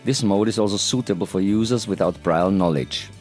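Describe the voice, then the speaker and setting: A middle-aged man gives a formal, deliberate scripted presentation into a headset mic in a small studio. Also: lowish voice, darkish tone, soft background music. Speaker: presenter, audio educator. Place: small home studio, indoors.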